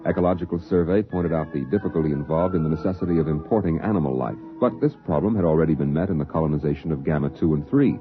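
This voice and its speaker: A man delivering grave scripted narration as the narrator of a 1950s science fiction radio play. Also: extremely low pitch. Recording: dull lo-fi 1950s radio recording.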